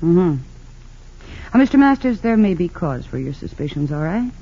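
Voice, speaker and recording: An actress playing a composed, principled woman defense attorney in an old radio drama, formal and measured as she questions. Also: on the low side, very animated, dark tone, breathy edge, heavily acted. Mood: probing, businesslike. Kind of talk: 1940s-50s radio drama acting. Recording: dull lo-fi old radio recording.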